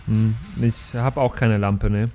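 A man's voice: lowish voice, fairly even intonation, measured pace, mellow, faint music behind. A man chatting casually as a player in an improvised tabletop role-playing game.

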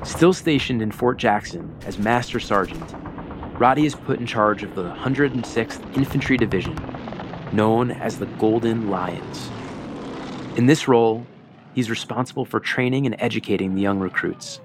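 A man narrating a historical documentary in a measured, solemn, scripted way with dramatic emphasis. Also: lowish voice, animated, background noise.

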